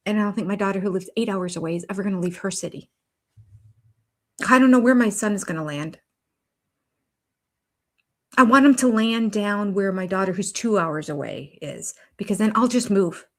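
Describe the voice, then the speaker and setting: Chatty older woman hosting a watch-along. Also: mid-range voice. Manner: chatty, reads viewer chat aloud. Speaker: YouTube host. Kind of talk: casual commentary while watching a show.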